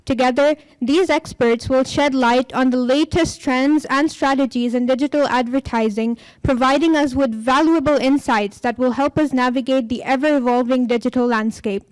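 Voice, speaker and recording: High-voiced woman hosting, formal and dry. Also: normal speed, few pauses, darkish tone, clear, resonant tone, dry recording, rough recording. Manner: formal, ceremonious. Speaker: event host. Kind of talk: formal scripted introduction.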